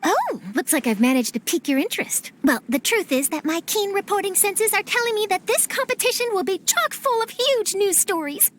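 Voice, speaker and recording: A peppy young reporter. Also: female voice, very high-pitched, lively intonation, a little hiss or hum. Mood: eager. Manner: chipper, persuasive, quick. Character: young female reporter, eager and nosy. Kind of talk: video game cutscene dub.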